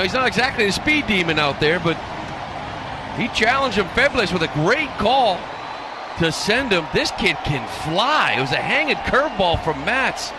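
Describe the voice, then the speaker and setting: A man giving conversational colour commentary during a live baseball game. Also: high voice. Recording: noisy live stadium radio broadcast.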